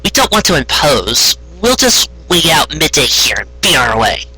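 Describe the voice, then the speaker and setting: A casual young female unicorn from a storybook reading, acted by the narrator. She talks frankly and matter-of-factly, in a bright, somewhat husky voice with lively pitch movement. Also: moderate pace, exaggerated voice, poor audio. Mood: polite.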